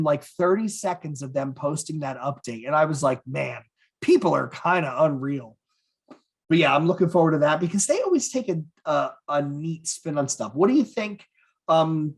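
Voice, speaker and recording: A man's voice: on the high side, lively intonation, moderate pace, slightly rough. A man co-hosting a podcast in casual, profane, enthusiastic chat about what he is a fan of. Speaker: podcast co-host.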